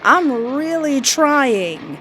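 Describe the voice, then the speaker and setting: A woman's voice: sits high, very animated, very slow, pushed and bright. Playful woman gamer over background music. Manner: playful, reactive, exclaiming and joking. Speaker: video game streamer playing a dating simulator. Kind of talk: let's-play commentary mixed with reading game narration aloud. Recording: music behind the voice. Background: game music.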